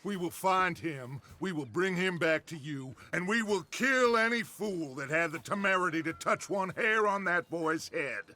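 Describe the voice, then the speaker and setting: An actor playing a commanding, oratorical gang leader with an American accent in a Western video game. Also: male voice, sits high, lively intonation, moderate pace, few pauses, sharp tone. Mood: solemn, resolute. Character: grandiose, commanding, silver-tongued outlaw gang leader. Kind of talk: dramatic video game cutscene acting.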